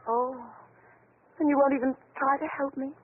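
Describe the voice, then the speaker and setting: A woman's voice: high-pitched, little pitch movement, moderate pace, natural voice. An actress playing a frightened, mysterious young female amnesiac client in 1940s radio style, breathless, earnest and melodramatic. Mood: frightened, pleading. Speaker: actress in a radio detective series. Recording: tinny, noisy 1940s radio recording.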